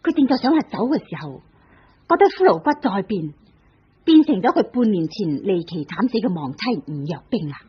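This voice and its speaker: A woman's voice: mid-range voice, very animated, a little fast, breathy edge. A woman with a Hong Kong Cantonese accent, narrating a vintage radio drama with vivid storytelling emphasis. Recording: lo-fi old radio recording with music.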